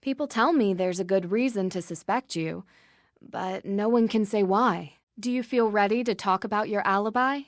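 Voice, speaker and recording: A woman playing a reasonable young female detective in a video game, asking questions in a clear, measured, earnest way. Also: medium pitch, dry recording, clean studio sound, lightly acted. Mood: sympathetic.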